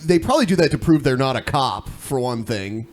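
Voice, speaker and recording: A man's voice: mid-range voice, expressive, fairly quick. A man hosting a podcast in casual, conversational talk. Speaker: podcast host. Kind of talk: casual chat. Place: indoor room, podcast stream.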